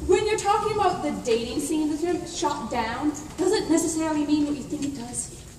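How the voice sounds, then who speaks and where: A woman's voice: high voice, lively intonation, moderate pace, a little mellow. A woman playing a cheeky, combative adventurer in comedic radio theatre, casual in manner. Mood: chatty. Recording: echoey hall, distant mic, faint noise.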